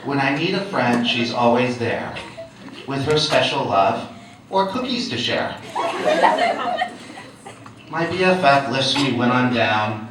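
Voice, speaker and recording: A man's voice: mid-range voice, expressive, slow pace, long pauses. A man reciting a playful rhyming poem on stage, laughing between lines. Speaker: teacher reading a poem on stage. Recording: very echoey hall, distant mic, loud noise.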